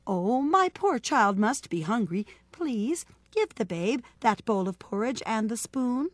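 A woman's voice: fairly high pitch, big pitch swings, measured pace, dry recording. A woman voicing a clever, polite storybook baker woman who pleads sweetly and artfully. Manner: imploring, courteous, artful. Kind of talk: storybook character voice.

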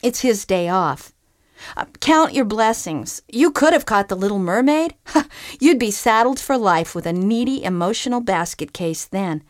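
A woman voicing a sharp-tongued female enchanted groundhog who talks with blunt, sarcastic scolding in a storybook reading. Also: mid-range voice, animated, a little slow, no room sound, clean studio sound, close to an everyday voice. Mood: wry.

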